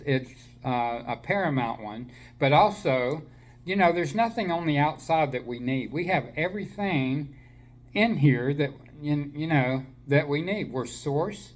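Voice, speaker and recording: Male spiritual teacher, slightly raised voice, slow unhurried delivery, a bit of a cold, gentle, lots of ums. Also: on the high side. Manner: slow, gentle, with many fillers and restarts. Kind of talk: spiritual talk to listeners.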